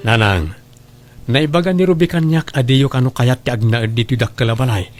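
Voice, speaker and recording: A man's voice: mid-range voice, brisk, faint music behind, natural voice. A man playing a caring, responsible elder brother and son in an Ilocano radio drama, earnest and solicitous. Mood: persuasive.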